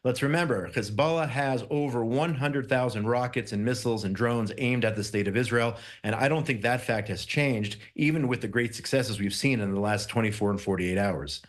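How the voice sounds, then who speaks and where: A man's voice: mid-range voice, normal speed, few pauses. Man giving emphatic live news commentary. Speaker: Middle East correspondent, interview guest.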